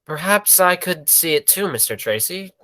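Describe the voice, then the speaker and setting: Man playing a sharp-voiced circus boss. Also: high-pitched, animated, normal speed. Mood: grave. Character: businesslike, callous circus boss worried about takings. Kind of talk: 1940s radio play acting.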